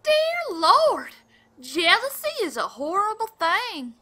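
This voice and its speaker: Audio drama mother, brash and flirty; very high, very bright, syrupy delivery. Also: wildly swooping pitch, slow pace, heavily acted. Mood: flirtatious, smug.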